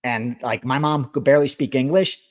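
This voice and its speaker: A man's voice: mid-range voice, steady tone, brisk. Middle-aged guy, greek first language, emphatic betting show host going off, repeats himself, swears. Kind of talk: impassioned monologue.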